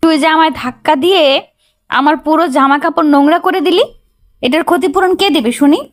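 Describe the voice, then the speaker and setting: A woman's voice: sits high, moderate pace, lightly acted. A woman voicing a haughty young female student bully in an audio story, scolding and demanding.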